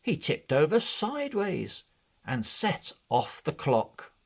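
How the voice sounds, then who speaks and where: A man narrating a children's read-along storybook in an expressive, deliberate way. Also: a little high, creaky, slightly rough. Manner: expressive, deliberate, measured delivery.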